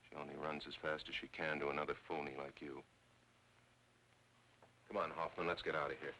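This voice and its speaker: An actor playing a skeptical, exasperated fiancé in a film noir, with blunt, sarcastic delivery. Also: male voice, extremely low pitch, little pitch movement, fairly quick, bright, forceful tone, heavily acted. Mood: wry, scornful. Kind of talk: 1940s film noir acting. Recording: faintly noisy old film soundtrack.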